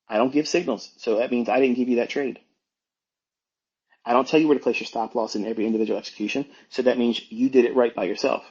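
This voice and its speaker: Male educator, informal monologue. Husky, emphatic, admonishing delivery with repeated phrases, on a dull lo-fi recording. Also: mid-range voice, darkish tone. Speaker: trading educator hosting an online audio talk. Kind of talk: informal unscripted monologue, lecturing.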